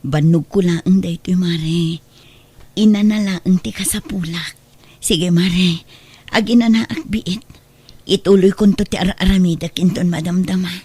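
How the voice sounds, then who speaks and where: A woman's voice: lowish voice, fairly even intonation, a little slow, darkish tone, slightly rough, dry recording. A woman playing a tired, self-sacrificing mother in a radio drama, with a Filipino accent and a placating manner. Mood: weary. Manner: placating, long-suffering. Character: weary, self-sacrificing mother working abroad. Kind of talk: Ilocano radio drama acting.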